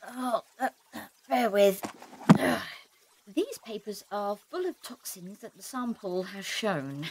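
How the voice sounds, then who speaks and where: A woman's voice: medium pitch, lively intonation, slow, heavy background noise. A woman playing a flustered, hesitant female lab assistant in an audio drama. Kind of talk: fan audio drama acting.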